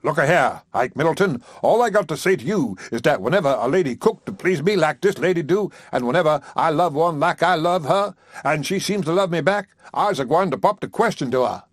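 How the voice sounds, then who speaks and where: A man's voice: a little high, animated, measured pace, dry recording, studio quality, voice pushed up. A man doing a pompous drawling preacher. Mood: indignant. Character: self-satisfied male preacher courting a widow.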